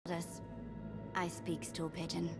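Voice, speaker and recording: A woman's voice: lowish voice, little pitch movement, slow pace. A woman playing a brash young thief in a video game, with blunt, slangy, dry delivery. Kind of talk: cinematic video game voice acting. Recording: music behind.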